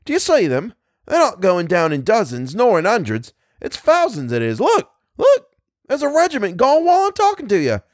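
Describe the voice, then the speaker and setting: A man voicing an excitable English infantryman in a First World War storybook, bellowing in plain exclamations. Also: sits high, moderate pace, no room sound, studio quality, pitched above the performer's own voice. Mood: amazed, elated. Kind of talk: dramatised storybook reading.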